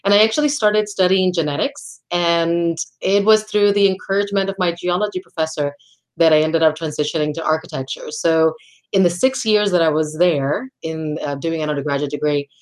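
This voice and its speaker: A woman's voice: lowish voice, a little fast, rough recording. Thoughtful middle-aged woman from Puerto Rico. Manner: thoughtful, restarts phrases, laughs between thoughts. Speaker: guest architect. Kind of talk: conversational interview answers.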